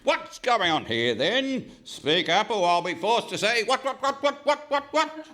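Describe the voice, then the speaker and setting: High-voiced, pompous comic investigator. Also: male voice, big pitch swings, measured pace, bright, forceful tone, exaggerated voice. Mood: pompous, demanding. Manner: pompous, melodramatic declaiming. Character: pompous, excitable, gullible male investigator hero. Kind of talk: Goon Show style radio comedy acting. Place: live stage performance in a hall.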